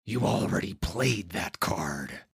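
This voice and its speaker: A narrator voicing a gruff, scornful male guard captain who growls and snarls in an audiobook. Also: deep voice, expressive, takes it slowly, no echo, studio quality, full character voice. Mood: irritated. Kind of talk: dramatic audiobook villain acting.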